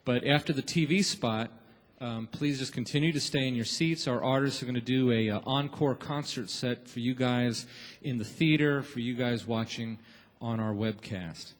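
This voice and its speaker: In a big, reverberant theater, a man speaks from the stage as a show's host. His voice sits at a middling pitch, and he addresses the audience informally and encouragingly, in stop-and-start phrases, like an emcee warming up the house before a taping. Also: moderate pace. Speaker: radio show host and emcee. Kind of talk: warm-up announcements to a live theater audience. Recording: echoey theater hall with long reverb.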